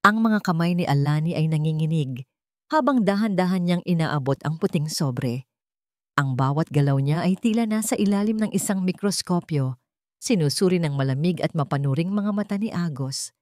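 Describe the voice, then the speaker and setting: Deep-ish lady narrator doing dramatic story reading, measured pauses, really dry recording. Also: lively intonation, no room sound.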